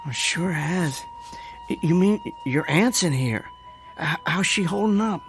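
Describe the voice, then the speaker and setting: An actor playing an anxious small-time hustler in a radio drama, with an American accent and streetwise, stammering talk. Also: male voice, a little high, lively intonation, a little slow, a bit bright, faint music behind. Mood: subdued, wistful. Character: anxious, desperate down-on-his-luck small-time hustler, man.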